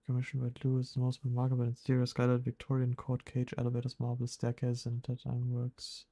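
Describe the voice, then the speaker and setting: A male game streamer with an average-pitched voice. He chats casually, thinks aloud, reads bits of text out and pokes fun at himself. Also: completely flat delivery, quick pace, dark tone. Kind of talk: casual gameplay chat, reading clues aloud.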